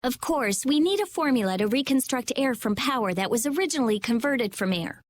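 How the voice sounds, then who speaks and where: A woman's voice: sits high, lively intonation, a little fast. A woman playing a brainy, intense, stubborn teenage girl mage in an anime-style video game. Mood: intent.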